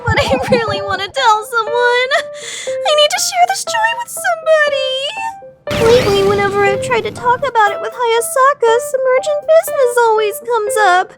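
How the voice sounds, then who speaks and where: A woman's voice: very high voice, brisk, few pauses. A woman playing a proud teenage rich girl in an anime fandub radio play, with formal, composed speech that breaks into gushing outbursts. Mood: gushing, longing. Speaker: voice actor. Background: music.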